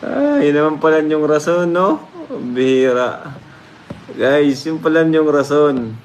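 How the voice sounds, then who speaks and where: A man's voice: a little high, animated, takes it slowly, a little mellow. Casual male reaction host, noisy background. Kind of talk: casual reaction commentary to viewers. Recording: clear background noise.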